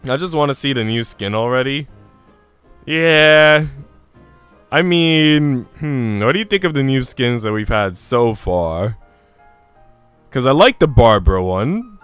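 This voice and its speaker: A man's voice: medium pitch, clean tone, dry recording. A man livestreaming, chatting casually and informally with his viewers. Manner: informal, chatty, mildly profane. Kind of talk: casual chat and reading a visual novel aloud. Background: soft music.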